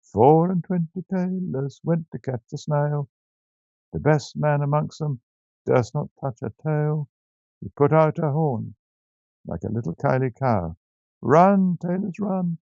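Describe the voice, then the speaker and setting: A man voicing a chorus of little mice with high, twittering singing voices. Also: flat delivery, slow pace, pauses often, mellow, no room sound, lo-fi sound. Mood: singsong.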